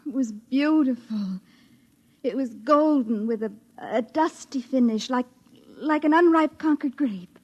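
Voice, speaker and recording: A woman acting an earnest, dreamy young woman who speaks haltingly and breathily in a 1950s radio drama. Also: high-pitched, a little mellow. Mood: wonderstruck. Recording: dull, muffled vintage radio recording.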